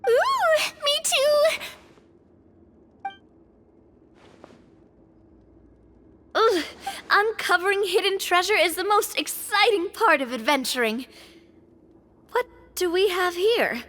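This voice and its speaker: A woman's voice: very high-pitched, very animated, measured pace, sharp tone, full character voice. A woman playing a young, bubbly, curious girl adventurer in a video game, eager and exclamatory. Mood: excited.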